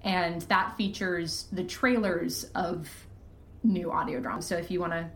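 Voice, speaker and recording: A woman's voice: on the low side, fairly even intonation, a little slow. Woman chatting candidly in a roomy space. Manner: candid, informal, swears casually. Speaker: podcast guest, fiction podcast creator. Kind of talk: casual conversational advice. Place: living room. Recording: roomy echo, faint background noise.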